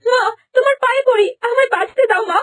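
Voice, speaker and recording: A woman's voice: very high voice, quick pace. A woman voicing a helpless young stepdaughter in a cartoon fairy tale, wailing and begging. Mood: pleading, tearful. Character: helpless, pleading young stepdaughter, desperate and submissive.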